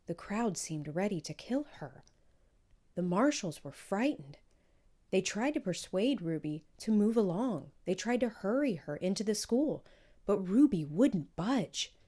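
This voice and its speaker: Mellow, lower-pitched woman reading a storybook. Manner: measured, clearly phrased storytelling. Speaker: storybook reader. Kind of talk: children's read-aloud narration.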